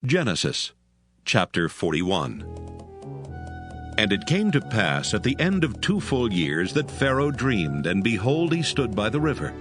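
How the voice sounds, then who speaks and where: A man's voice: lowish voice, very animated, measured pace. A man narrating scripture as a solemn storyteller in a dramatized audio style. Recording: music behind the voice.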